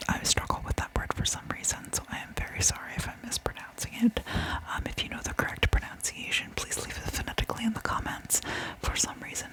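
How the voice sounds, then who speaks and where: Casting: Canadian female ASMR storyteller, breathy whisper throughout, even delivery, unhurried. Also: a little high, poor audio. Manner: soft-spoken, informal asides to listeners. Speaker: true crime ASMR host. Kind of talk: whispered ASMR true crime narration.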